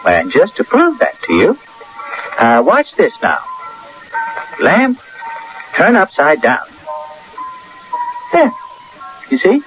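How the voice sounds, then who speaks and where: A man's voice: high-pitched, wildly swooping pitch, slow pace, long pauses, fairly bright tone, heavily acted. An actor playing a polite, self-assured skeptical everyman in an old radio drama, talking conversationally. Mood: cocky, skeptical. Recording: dull lo-fi radio recording with music.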